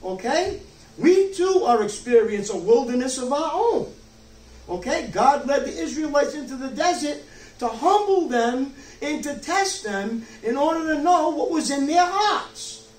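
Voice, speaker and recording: A man's voice: extremely high pitch, expressive, a little slow. A man in his sixties, a pastor, preaching a sermon emphatically from a church pulpit with frequent rhetorical check-ins. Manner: emphatic, rhetorical questions, check-ins like "okay?" and "you see?". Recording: roomy distant mic, some background noise.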